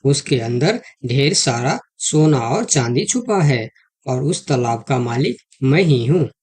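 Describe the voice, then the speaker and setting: A man as a smooth-talking, sly cartoon jackal. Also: mid-range voice, lively intonation, unhurried, a little mellow. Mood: boastful, persuasive. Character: sly male jackal swindler, smooth-talking and falsely friendly. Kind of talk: Hindi children's cartoon story dialogue.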